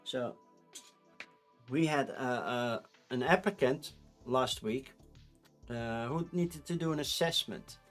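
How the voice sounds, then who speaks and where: A man's voice: mid-range voice, slow, long pauses, soft background music. A man in his thirties with a Dutch accent, rambling casually on a live stream. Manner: rambling, chatty, with frequent restarts and laughs.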